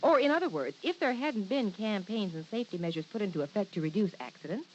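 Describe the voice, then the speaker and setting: A woman's voice: mid-range voice, expressive, fast, a little mellow, close to an everyday voice. An actress playing a kindly, capable, instructive policeman's wife in an old-time radio playlet. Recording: muffled old radio recording, faint hiss.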